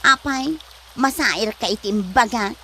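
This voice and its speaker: A woman's voice: fairly high pitch, measured pace, sharp tone, a little hiss or hum. A woman playing a vain, mocking young braggart in an Ilocano comedy drama, with an Ilocano accent and haughty, taunting delivery.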